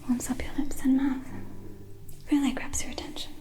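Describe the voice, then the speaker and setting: A woman playing a gentle, whimsical elf mage in a fantasy ASMR roleplay, speaking in a soothing, softly theatrical whisper. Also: high voice, flat delivery, moderate pace, natural voice. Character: gentle whimsical female elf mage hosting in magic tent. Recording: close whispered voice over music. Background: music.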